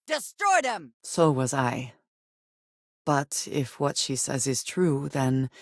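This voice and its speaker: A guarded woman space explorer from a video game. Her speech is formal, serious and measured, with few contractions. The recording is close and very dry. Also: very deep voice, darkish tone, dry recording, full character voice. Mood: urgent, combative, then troubled, subdued. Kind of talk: scripted video game companion dialogue.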